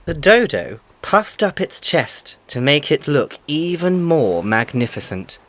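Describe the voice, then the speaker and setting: A man's voice: fairly high pitch, sing-song, a little slow, fairly bright tone, dry recording. A man reading scripted storybook narration as the narrator.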